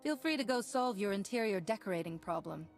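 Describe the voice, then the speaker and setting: An actress playing a stern, commanding Jedi heroine in a video game, speaking with dry authority. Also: female voice, medium pitch, lively intonation, a little fast, faint music behind, close to an everyday voice. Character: stern, commanding young female Jedi hero. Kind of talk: video game cutscene acting.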